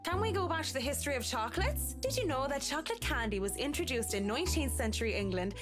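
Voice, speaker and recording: An actress playing a guarded, sharp-tongued woman in a visual novel game, with a teasing, challenging manner. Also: high-pitched, very animated, fast talker, music in the background. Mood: dismissive, evasive.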